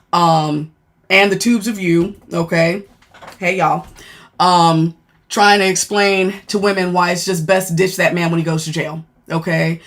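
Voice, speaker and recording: Blunt deep-voiced woman on a livestream. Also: fairly bright tone. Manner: blunt, frank, thanks viewers mid-sentence. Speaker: livestreamer and former law enforcement worker. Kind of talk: casual live-stream chat reading comments.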